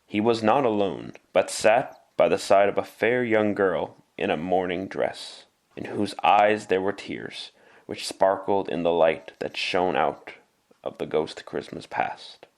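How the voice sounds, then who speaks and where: Low-voiced male radio drama narrator. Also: unhurried, vocal fry. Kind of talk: scripted storybook narration, classic radio drama.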